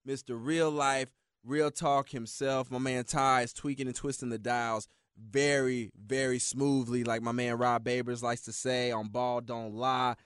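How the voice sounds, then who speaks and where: A man's voice: mid-range voice, level tone, a little slow, fairly bright tone, clear, resonant tone, dry recording, clean studio sound. A man hosting a motivational talk show, preaching in a hyped, repetitive way. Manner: preachy, hyped, repeats "yeah, yeah, yeah" and "yo". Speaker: motivational radio host.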